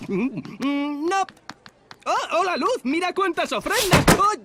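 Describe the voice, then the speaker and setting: Squeaky little demon king. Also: animated, slow, heavily acted. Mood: childish glee. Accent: Castilian Spanish. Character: small bossy childish male demon king, excitable and vain. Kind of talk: cartoon dub. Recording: music over the voice.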